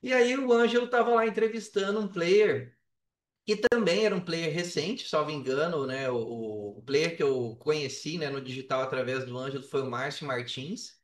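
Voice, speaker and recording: A Brazilian man telling his own story casually as a podcast guest, colloquial and animated, often acting out quoted dialogue. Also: a little high, moderate pace. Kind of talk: casual personal storytelling in an interview. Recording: roomy echo, distant from microphone.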